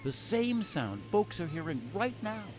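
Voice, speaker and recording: A man's voice: high voice, sing-song, measured pace, music in the background. A man playing a folksy, earnest narrator in an audio play, speaking in an oratorical, theatrical style. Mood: earnest, lyrical. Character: folksy, earnest male narrator musing on his country.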